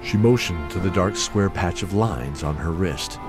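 A man's voice: sits low, unhurried. A man narrating a scripted fantasy story as the storyteller of an audio drama. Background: music.

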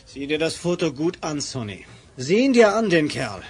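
An actor playing a brave, hot-headed crime-fighter who is gruff but protective, in a 1980s German radio drama. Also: male voice, fairly high pitch, very animated, moderate pace, exaggerated voice. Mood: threatening.

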